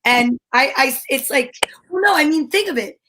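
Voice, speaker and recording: A woman co-host with a notably high voice talks casually. She explains things in a conversational way, working out her thoughts aloud. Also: expressive, moderate pace, sharp tone, a little hiss or hum. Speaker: co-host of a comedy talk show. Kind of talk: casual chat.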